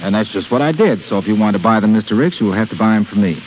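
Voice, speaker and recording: An actor playing a brash, cocky, quick-witted shipping rival in breezy 1930s radio style. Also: male voice, lowish voice, expressive, lightly acted. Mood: smug. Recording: tinny, crackly 1930s radio broadcast.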